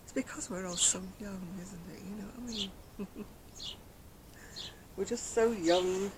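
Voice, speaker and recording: An older woman talks casually to her viewers in a very soft, quiet voice that she cannot raise, rambling and gently poking fun at herself. She is outdoors on a decking with a camera close in front of her. Loud outdoor noise runs behind her voice the whole time. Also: medium pitch, steady tone, takes it slowly. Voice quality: very soft, quiet voice after throat surgery. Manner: chatty, rambling, self-mocking, laughs softly. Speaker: craft vlogger. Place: outdoors on a decking by a brick wall. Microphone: camera in front at close range.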